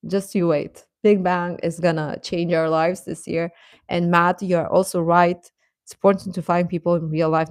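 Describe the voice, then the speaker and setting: A woman hosting a casual livestream, chatting to viewers in a gentle, encouraging, affectionate way. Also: medium pitch, no echo, studio quality. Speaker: livestream host.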